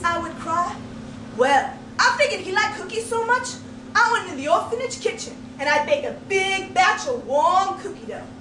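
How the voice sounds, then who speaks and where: A woman telling a comic tall tale as a speech contestant, theatrical and emphatic, with folksy phrasing and dramatic pauses. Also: extremely high pitch. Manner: folksy storytelling turns of phrase. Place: indoor speech contest room, distant microphone. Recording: roomy, noisy live recording from far off.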